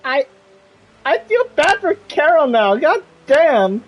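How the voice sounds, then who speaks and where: A man's voice: extremely high pitch, big pitch swings, slow, muffled recording, soft background music. A man streaming a game, giving casual live commentary in muttered reactions.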